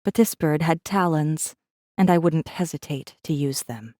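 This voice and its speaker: A woman's voice: low voice, measured pace, warm tone, dry recording, studio quality. A woman playing a defiant, bitter captive rebel assassin who narrates her own story in an intense, brooding way. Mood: resolute. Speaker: audiobook narrator. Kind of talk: first-person dramatic narration.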